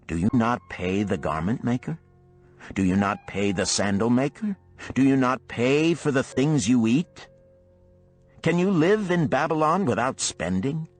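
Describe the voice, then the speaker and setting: A man's voice: medium pitch, animated, unhurried, soft background music, voice pushed up. Man acting a stern, emphatic old moneylender. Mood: stern, probing. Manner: emphatic, lecturing, rhetorical. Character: stern old male moneylender mentor, blunt and scolding. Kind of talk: audiobook parable character dialogue.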